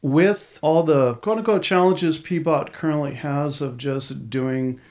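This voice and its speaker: A man's voice: a little high, measured pace, a little mellow. A male podcast co-host reading show notes and asking long, detailed questions. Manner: friendly, informal announcements and long questions. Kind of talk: podcast hosting.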